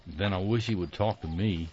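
A man voicing an army captain who is brisk, teasing and good-humored. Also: sits low, a little mellow, slight background noise, natural voice. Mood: gruff, amused. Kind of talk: audio drama acting. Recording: muffled lo-fi recording.

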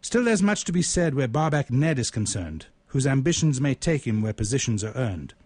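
A man speaks as a radio host and poet in a very dry recording with little room sound. His pitch sits in the middle, and his delivery is that of a storyteller sharing spoken word. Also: very animated, moderate pace, a little mellow, husky, dry recording. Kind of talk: conversational storytelling and poetry recitation.

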